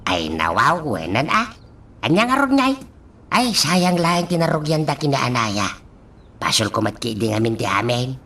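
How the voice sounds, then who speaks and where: A gentle, God-fearing old father, as an actress voices him with sighing exclamations and soft reassurances in a radio drama. The voice sits very low with lively swings in pitch. It sounds a little far from the mic. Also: normal speed, heavily acted. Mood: wistful. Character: gentle aging father, kind and devout.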